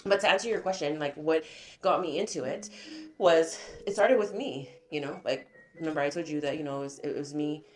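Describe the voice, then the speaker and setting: A woman's voice: low-pitched, fairly quick, soft background music. A woman from American Samoa who is sick with a cough, chatting casually at home and answering questions with lots of fillers. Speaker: host. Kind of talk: casual chat.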